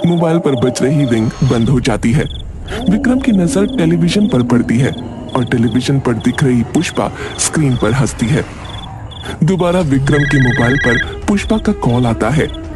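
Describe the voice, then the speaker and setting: A man narrating a dramatic cartoon story in a steady way, with background music. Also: mid-range voice, moderate pace.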